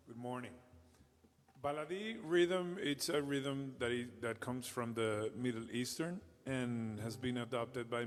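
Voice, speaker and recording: Man teaching rhythm in an echoey hall. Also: medium pitch. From Venezuela. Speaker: percussion teacher, guest performer. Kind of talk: explaining a rhythm to children.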